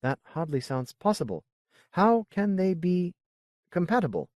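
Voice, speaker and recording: A man voicing a measured, skeptical senior advisor in an audiobook, with a quiet, musing, questioning manner. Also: sits high, sing-song, no echo, studio quality, pitched above the performer's own voice. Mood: musing, doubtful. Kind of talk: audiobook character dialogue.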